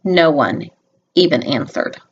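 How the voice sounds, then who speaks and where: A woman's voice: sits low, expressive, slow, gravelly, dull audio, slight background noise. A woman reading a children's picture book aloud expressively, with simple instructive asides to young listeners. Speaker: storybook reader.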